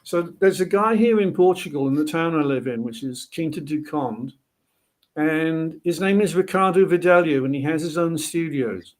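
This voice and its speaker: A man's voice: a little high, normal speed. Chatty rambling man from Wales. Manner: chatty, rambling storyteller with many restarts. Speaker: guest musician. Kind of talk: interview storytelling.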